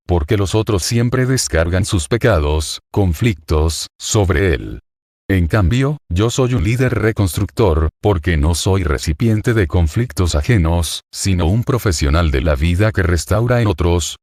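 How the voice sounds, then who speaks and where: A man from Argentina with an Argentine accent, a psychoanalyst reading a scripted self-interview in a solemn, deliberate, self-assured way. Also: low voice, a little mellow, no echo, clean studio sound. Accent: Argentine Spanish.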